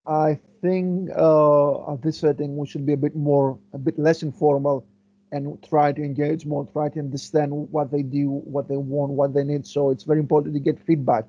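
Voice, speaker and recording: A man's voice: a little high, flat delivery, normal speed, dull audio. A man from Greece, a historian answering interview questions thoughtfully, with many fillers and restarts as he searches for words. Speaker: guest historian.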